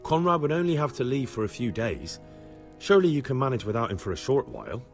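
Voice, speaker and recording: An actor playing an earnest young medieval squire in a video game, persistent and a little sardonic. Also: male voice, mid-range voice, wildly swooping pitch, quick pace, faint music behind. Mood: earnest, persuading. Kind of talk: video game cutscene acting.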